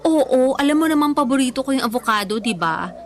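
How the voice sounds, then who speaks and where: A young woman with a high voice banters casually in a radio drama. She is playfully defensive and emphatic, a food lover through and through. Faint music plays underneath. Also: expressive, brisk. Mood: cheerful. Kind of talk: Tagalog radio drama, natural conversational acting.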